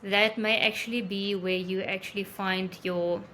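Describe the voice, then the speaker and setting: A woman from South Africa whose first language is Afrikaans, a guest in an interview, friendly and quick to laugh, with hesitant fillers. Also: mid-range voice, fairly even intonation, fairly bright tone, slight background noise. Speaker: guest, photographer and travel blogger. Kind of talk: casual interview chat.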